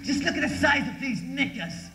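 A man's voice: very high voice, animated, creaky, recorded from a distance, full character voice. A man playing a scheming, gloating sorcerer villain in a stage pantomime, theatrical and sly. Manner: theatrical, drawn-out, sly muttering to himself. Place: theatre stage, live performance. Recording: echoey theatre hall, music behind.

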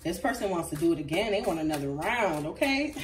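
A woman's voice: lowish voice, sing-song, brisk, recorded from a distance, background noise. A woman reading tarot cards to camera in a casual, chatty way, a little congested from a recent flu, with frequent ums and laughs. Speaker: tarot reader. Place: indoors at a table with crystals.

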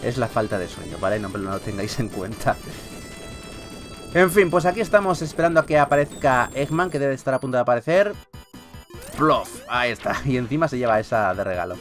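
Male gaming host, Peninsular Spanish accent, medium pitch, chatty and playful with light swearing, casual commentary over prominent game music. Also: animated, brisk, a bit bright. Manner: chatty, joking, mildly sweary. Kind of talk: casual Let's Play commentary. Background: video game music.

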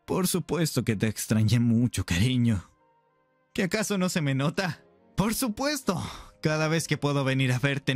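A man's voice: a little high, no room sound. A man playing an affectionate, playful and protective pirate captain in an anime roleplay, with music behind him. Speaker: roleplay performer. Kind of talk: ASMR anime roleplay acting.

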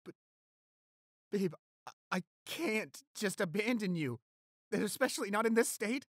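A young man acting an anxious, devoted boyfriend in a roleplay audio, halting and stammering as he pleads. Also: high voice, animated, no echo, clean studio sound. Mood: tender, pleading.

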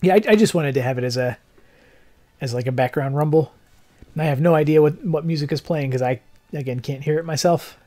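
A young adult man who streams games, chatting casually in a rambling, technical way, with a slightly hoarse voice. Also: on the high side, brisk, warm tone. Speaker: game streamer. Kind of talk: casual livestream chat. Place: living room, seated in armchair indoors.

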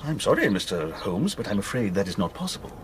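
An actor playing a solemn, deferential butler in a radio drama, formal and regretful. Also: male voice, on the low side, very animated, quick pace, slight background noise. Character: solemn, deferential butler turning visitors away.

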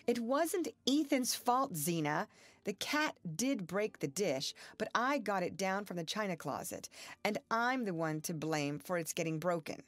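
A woman voicing a timid, gentle young farm girl who speaks softly and hesitantly. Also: mid-range voice, lively intonation, unhurried, dry recording, pitched above the performer's own voice, close to an everyday voice. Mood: pleading. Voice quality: soft, hesitant, pleading.

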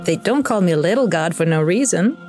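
A mellow, lowish-voiced angel messenger, played by a woman, addresses listeners like an office official of heaven. The delivery is wry and politely condescending, over faint music in an audio drama. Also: lively intonation, a little fast, close to an everyday voice. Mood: self-assured. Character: chatty, bureaucratic female angel messenger addressing prophets. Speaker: narrator and in-story host. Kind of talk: scripted narration, addressing listeners.

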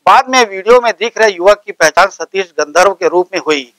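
Studio anchor guy reading news, high pitched voice, dry sound. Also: a bit bright, no echo, poor audio. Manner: formal, measured read delivery.

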